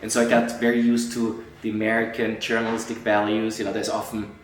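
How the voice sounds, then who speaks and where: A middle-aged man from Austria, an entertainment journalist answering interview questions, animated and acting out quoted colleagues, with frequent restarts. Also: lowish voice, brisk, a little hiss or hum. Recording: echoey room, voice a bit far from mic.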